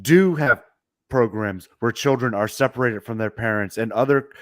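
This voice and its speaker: A man co-hosting a casual chat show, hoarse from a sore throat, talking quickly with frequent restarts. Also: mid-range voice, no echo, studio quality. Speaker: podcast co-host.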